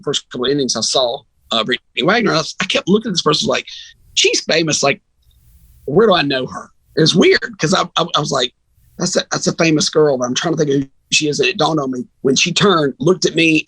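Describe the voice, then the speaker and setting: A man's voice: on the high side, a little fast, pushed and bright, slightly rough, dry recording, rough recording. A man who works as a sports radio announcer, talking casually as a guest in a rambling, anecdotal way. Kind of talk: casual sports chat and storytelling.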